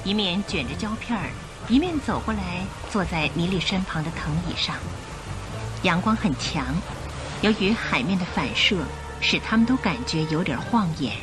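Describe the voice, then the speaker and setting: Expressive woman narrator over music. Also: lowish voice, moderate pace, rough recording. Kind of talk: dramatised storybook narration for radio.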